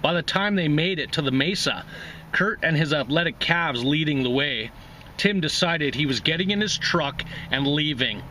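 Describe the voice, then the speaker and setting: A man's voice: on the high side, lively intonation, normal speed, pushed and bright, slight background noise. A man hosting a reading series, narrating a literary short story aloud.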